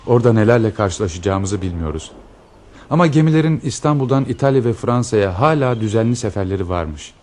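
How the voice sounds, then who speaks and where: An actor playing a devoted, protective lover on the run, in a radio drama. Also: male voice, on the low side, lively intonation, a little fast, a little mellow, a little hiss or hum, close to an everyday voice. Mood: earnest. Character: devoted male lover, protective fugitive planning escape.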